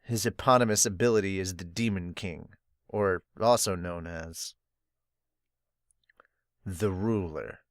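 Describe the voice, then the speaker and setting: A calm young captain, read by a man who speaks in short, quiet, serious lines. The voice sits slightly low and is recorded close and dry. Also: unhurried, long pauses, no echo.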